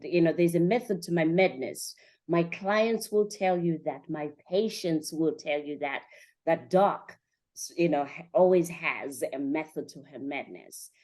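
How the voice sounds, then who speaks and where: A woman hosting a motivational interview, speaking in an emphatic, encouraging way and piling up repeated phrases. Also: fairly low pitch. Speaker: podcast host and coach.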